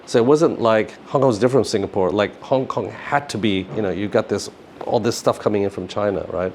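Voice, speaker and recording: A man's voice: on the low side, fairly quick, darkish tone, a little hiss or hum. A middle-aged man speaking casually as an interview guest, in a rambling way peppered with filler phrases. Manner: rambling, often says "you know" and "like". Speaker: interview guest, business founder.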